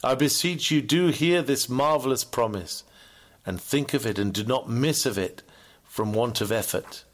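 A man's voice: medium pitch, normal speed. A man reading a scripted sermon in an earnest, formal, oratorical manner. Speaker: reader of a classic sermon.

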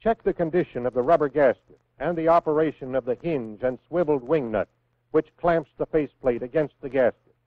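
An American man reading scripted instructions in a measured, clearly enunciated way, as the narrator of a 1960s military training film. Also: fairly high pitch, no room sound. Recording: phone-like vintage 1960s film soundtrack.

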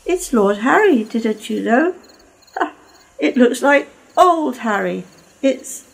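A woman's voice: fairly high pitch, creaky, husky, exaggerated voice, rough recording. Woman playing a sarcastic old engine. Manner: mocking, sarcastic. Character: cheeky old male mountain engine.

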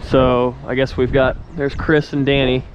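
A man hosting his own fishing channel, chatting excitedly to viewers from a kayak on a river and sounding tired. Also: mid-range voice, moderate pace, highs cut off. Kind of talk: casual on-the-water vlog narration. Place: outdoors on a river, in a kayak and on the bank. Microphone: action camera. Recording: wind and water noise from outdoor camera.

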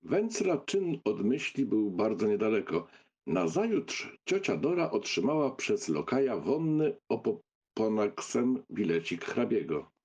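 A man's voice: lowish voice, very animated, measured pace, a little mellow, husky. A man narrating a novel as a scripted audiobook reading.

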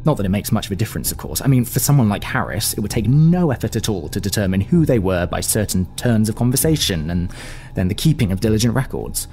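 An actor playing an anxious, indignant, paranoid businessman in an audio drama, halting and often interrupting himself. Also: fairly low pitch, very animated, dark tone. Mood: bitter, suspicious. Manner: halting, muttering, self-interrupting. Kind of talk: audio drama monologue and phone dialogue.

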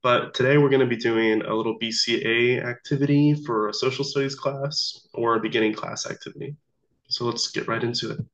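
A young man, sick and a little off voice, walking through a slideshow activity in a casual, halting way with many ums. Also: medium pitch, steady tone, a bit bright. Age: young adult. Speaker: student teacher presenting an activity. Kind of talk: recorded slideshow walkthrough. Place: small room indoors. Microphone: laptop webcam mic. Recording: dull lo-fi video call audio.

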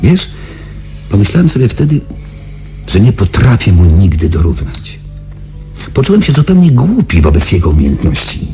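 An actor playing a shaken young space patrol pilot, confiding his story in a halting, dramatic radio-play delivery. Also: lowish voice, wildly swooping pitch, dark tone, faint music behind. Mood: tense, hushed.

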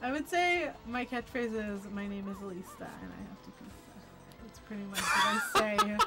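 A woman's voice: medium pitch, huge pitch swings, normal speed. A young woman from the US East Coast, a little tipsy, chatting and swearing often as a guest on a casual gaming stream at a home desk mic. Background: game music.

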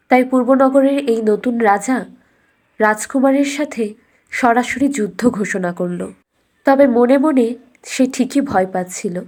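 A woman narrating a scripted cartoon fairy tale as a storyteller, in a measured, deliberate storybook delivery. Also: on the high side, a little mellow.

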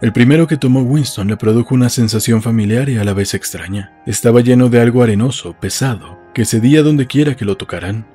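Mellow, husky male audiobook narrator. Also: medium pitch, fairly quick, hardly any pauses. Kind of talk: scripted literary narration. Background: faint music.

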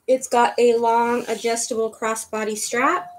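A woman's voice: fairly high pitch, little pitch movement, a little slow. A woman in her fifties hosting a live online handbag auction from her living room, chattily calling out bids and thanking viewers by name. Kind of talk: live-stream auction patter. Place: living room at home, indoors. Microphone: webcam in front of her.

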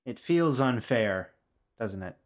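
A man voicing a guarded, self-doubting pirate assassin in a measured, understated way for a tabletop game. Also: mid-range voice, animated. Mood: dry, curious.

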